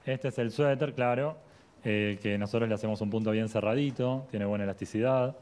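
A man's voice: on the low side, brisk, a little mellow. A man in his forties with a Rioplatense accent, a shop owner speaking by phone from his clothing store, plain and matter-of-fact. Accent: Rioplatense Spanish. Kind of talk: live TV interview by phone. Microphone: phone held to ear.

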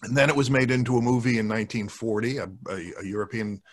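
A man in his sixties talking as a podcast guest in a casual, thoughtful, halting way, close to a desk microphone at home. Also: mid-range voice. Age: 60s. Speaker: podcast guest, radio show producer. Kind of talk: casual discussion of old radio and film. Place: home room, video call. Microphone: large desk microphone, close.

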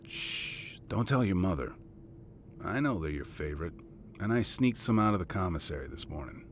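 A man acts out a military father for an audio story. His voice sits low, with a measured, fatherly delivery that is fond and encouraging. A little noise sits behind him. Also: animated. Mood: conspiratorial.

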